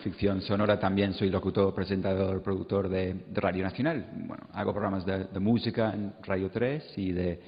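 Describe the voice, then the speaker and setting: A man with a deep voice improvises an answer on a panel, hesitating as he looks for words. It is recorded in a big reverberant hall with a slightly muffled sound. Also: steady tone, normal speed, hardly any pauses. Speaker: radio presenter on conference panel. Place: conference hall, amplified event.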